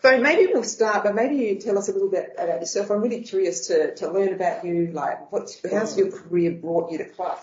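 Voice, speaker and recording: A woman's voice: mid-range voice, a little fast, few pauses, a little mellow. A woman hosting a podcast interview, enthusiastic and effusive as she welcomes and questions her guest. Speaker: podcast host. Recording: roomy, slightly dull sound.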